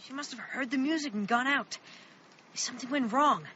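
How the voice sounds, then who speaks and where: A thirteen-year-old boy in a radio drama, urgent and protective. The voice is high and bright, on a slightly dull recording with faint noise. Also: moderate pace. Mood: earnest, uneasy. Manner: urgent, protective, hushed at times.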